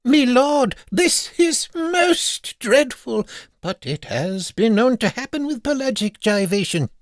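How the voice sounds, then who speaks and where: A man's voice: extremely high pitch, expressive, slow pace, no room sound, voice pushed up, exaggerated voice. A man acts out a Victorian doctor in a comic audio story, solemn and fussy. His formal speech rises and falls theatrically, in a close, dry sound. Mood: alarmed, urgent. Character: grave, formal, fussy male Victorian physician.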